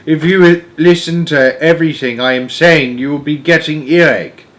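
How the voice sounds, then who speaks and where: A man voicing a gentle, wistful storybook giant with muddled, ungrammatical folksy speech. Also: on the high side, moderate pace, voice pushed up, natural voice. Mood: teasing. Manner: ungrammatical, jumbled made-up words.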